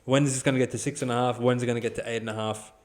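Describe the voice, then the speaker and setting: A man's voice: medium pitch, fairly even intonation, very fast, darkish tone. An Australian man hosts a casual sports podcast in an ordinary mid-range voice. He talks in slangy, blunt banter and swears freely as he previews games.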